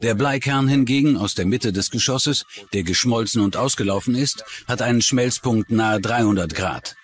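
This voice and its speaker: A man's voice: mid-range voice, normal speed. A man giving an explanatory documentary voice-over for expert interviews.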